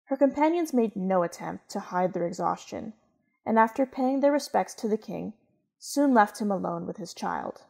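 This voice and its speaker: A woman's voice: mid-range voice, animated, normal speed, gravelly, mellow, husky. A woman reading scripted literary narration as a storyteller.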